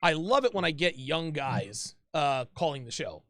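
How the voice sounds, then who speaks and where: A man with a fairly high voice hosts a call-in advice show in a loose, chatty way. He repeats words, restarts his sentences and keeps calling people "dude". The sound is close and dry, with no room around it. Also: very animated, normal speed, a bit bright, dry recording. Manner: casual, repeats himself, calls people "dude".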